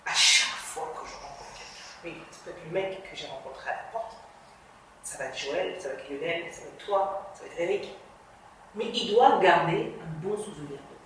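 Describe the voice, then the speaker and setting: An earnest woman speaks as a guest on an interview podcast, reflective and encouraging. Her phrases often fade to a soft close. The sound is heavily reverberant and a little distant, with faint hiss underneath. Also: fairly low pitch, normal speed. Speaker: guest, television journalist. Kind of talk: motivational interview talk. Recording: reverberant room, distant mic.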